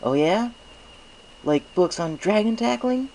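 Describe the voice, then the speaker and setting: A man's voice: high-pitched, lively intonation, slow pace, darkish tone, slight background noise, voice pushed up, full character voice, rough recording. A man voicing a cocky young male dragon assistant in a storybook reading, with a breezy, sarcastic manner. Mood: teasing.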